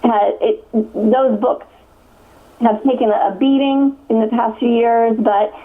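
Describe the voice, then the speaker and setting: A woman's voice: a little high, fairly even intonation, measured pace. A woman from Connecticut, an author, answering interview questions in a chatty way, full of fillers and restarts and frequent laughs. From Connecticut, USA. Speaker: author guest. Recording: tinny phone line.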